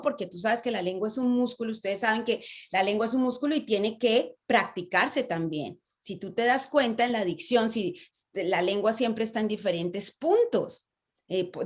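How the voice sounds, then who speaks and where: Latin American woman, slightly high voice, engaging speaking coach. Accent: Latin American Spanish. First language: Spanish. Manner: engaging, asks rhetorical questions, frequent "¿no?". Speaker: speaker on stage fright. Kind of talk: conversational talk with a guest.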